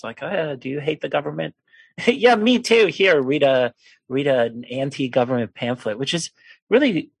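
A man in his forties from Poland, a podcast guest telling a personal story in a lively conversational way and acting out the people he quotes. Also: medium pitch, husky, no echo. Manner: animated storyteller who acts out quoted voices.

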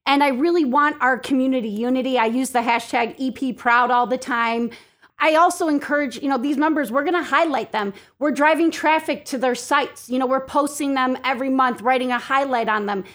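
High-voiced, upbeat woman in interview. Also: fairly quick, a bit bright. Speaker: podcast guest, chamber of commerce president. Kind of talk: casual interview talk. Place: home basement studio.

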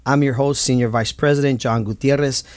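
An upbeat man hosts a casual community podcast interview, laughing easily and giving shout-outs to his guest. His voice is mid-range in pitch. The sound is close and dry. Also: steady tone, fairly quick, no room sound. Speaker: podcast host.

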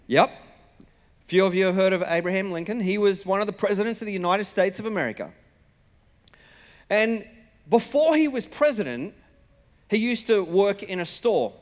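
A man's voice: high-pitched, animated, moderate pace, pauses often. A man with an Australian accent preaching as a pastor, telling stories in a conversational, colloquial way and acting out dialogue. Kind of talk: sermon with personal storytelling. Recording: reverberant hall sound.

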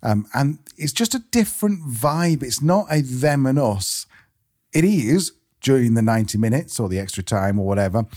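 A man with a British accent chats as a podcast co-host, with a middling pitch. He tells stories casually, in a joking, animated manner and pokes fun at himself. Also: moderate pace. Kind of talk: casual anecdotal chat.

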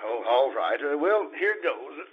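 An actor playing a flustered, evasive bridegroom with a hesitant, sheepish manner in an old-time radio comedy. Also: male voice, medium pitch, big pitch swings, a little slow, husky. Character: flustered, evasive bridegroom caught between two wives. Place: live theater stage. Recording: dull live stage audio with loud noise.

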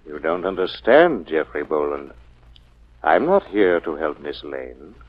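An actor playing a sinister, commanding invisible avenger in a 1940s radio drama, proclaiming ominously. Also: male voice, very deep voice, big pitch swings, measured pace, full character voice. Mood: ominous taunting. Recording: muffled 1940s radio recording.

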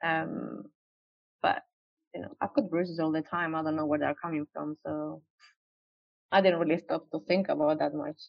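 A woman speaks as a podcast guest in casual conversation, often hesitating and restarting her sentences. Her voice sits slightly low for a woman. The recording is dry with no room sound and has a dull, lo-fi tone. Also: little pitch movement, moderate pace, creaky, pauses often, no echo. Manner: hesitant, many restarts and fillers. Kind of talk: casual interview chat.

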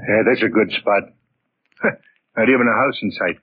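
An actor playing a big, dim-witted, sheepish crook with American gangster slang, in 1940s radio crime style. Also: male voice, on the low side, normal speed, a little hiss or hum, close to an everyday voice. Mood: eager, unsuspecting. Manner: slangy, ungrammatical gangster talk. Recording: muffled, tinny 1940s radio recording.

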